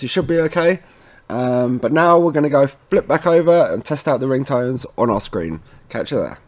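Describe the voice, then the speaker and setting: A man with a medium-pitched voice presents a how-to tech tutorial. He talks informally and chattily, moving step by step and often checking in with short tags as he explains. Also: darkish tone. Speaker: presenter of a product tutorial series.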